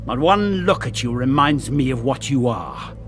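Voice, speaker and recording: An actor playing a hostile, commanding captain of soldiers who speaks with contempt in a fantasy video game. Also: male voice, medium pitch, expressive, a little slow, fairly bright tone, soft background music. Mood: contemptuous, commanding. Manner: commanding, scornful.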